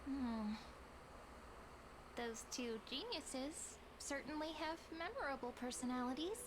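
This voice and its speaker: A very high-pitched young girl companion from a video game. She is gentle, earnest and a bit hesitant, thinking things through, with noisy ambience behind her. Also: lively intonation, unhurried. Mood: puzzled, pensive. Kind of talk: scripted video game dialogue. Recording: clear noise behind the voice.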